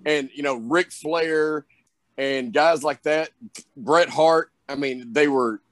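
A man's voice: on the high side, slow pace, dry recording. A young man with a Southern drawl, chatting in an interview about his wrestling career, rambling with lots of fillers. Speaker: wrestler guest. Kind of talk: casual interview chat.

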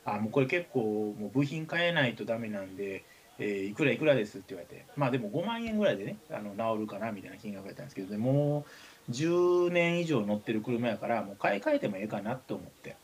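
Male radio talker, Kansai accent, lively swinging intonation, relaxed conversational storytelling. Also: on the low side, quick pace. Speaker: radio show talker, writer. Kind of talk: casual chat and anecdote telling.

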